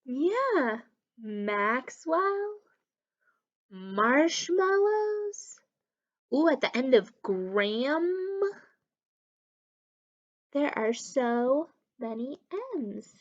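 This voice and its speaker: A woman reading a story aloud to young children as a teacher, animated and encouraging, talking directly to her listeners. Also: high-pitched, very slow, pauses often, highs cut off. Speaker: children's story time reader and literacy teacher.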